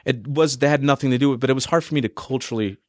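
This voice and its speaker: A young man chats loosely in a podcast interview, leaning on fillers like "you know" and "man". His voice sits in a middle pitch. It is recorded close and dry. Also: very fast, no room sound. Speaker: musician guest. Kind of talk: casual interview chat.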